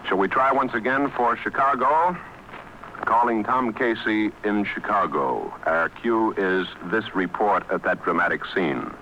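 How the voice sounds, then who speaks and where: A man reads the evening news on live radio, his voice pitched a little low and cutting forcefully through. He stays composed and ad-libs smoothly while each link fails. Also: a little slow, a little hiss or hum, rough recording. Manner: composed, stalling through failed links.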